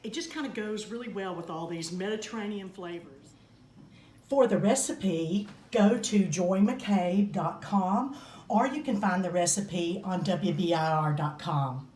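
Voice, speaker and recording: A woman's voice: on the low side, expressive, a little slow, a little mellow. An older woman, hosting a casual cooking demonstration in a large home kitchen and chatting homily while she works. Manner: chatty, homey, talks while working. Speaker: cooking segment host. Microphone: fixed camera across the kitchen counter. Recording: roomy kitchen with faint hum.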